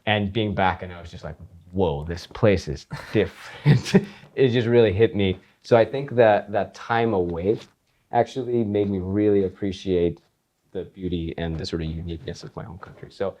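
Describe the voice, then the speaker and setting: A man from Iceland, a guitarist and composer, chatting in an interview in a relaxed, rambling way with frequent laughs. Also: low voice, normal speed. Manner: relaxed, rambling, laughs often, many fillers. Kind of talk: casual interview chat.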